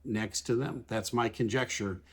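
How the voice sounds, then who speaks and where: Measured man explaining air traffic calls. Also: on the low side, fairly even intonation. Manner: measured, plain-spoken, paraphrases radio calls in everyday words. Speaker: aviation analyst. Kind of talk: explanatory commentary over air traffic recordings.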